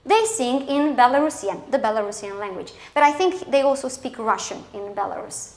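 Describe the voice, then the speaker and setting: A woman's voice: fairly high pitch, expressive, moderate pace, a little mellow. A woman with a Romanian accent presenting a scripted English lesson for schoolchildren, enunciating each example in a didactic way. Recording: echoey, roomy sound.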